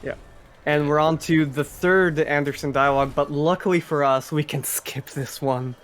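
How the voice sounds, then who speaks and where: A man's voice: a little high, fairly even intonation, normal speed. A man giving casual live commentary on a speedrun, explaining as he goes in a hesitant, restarting way.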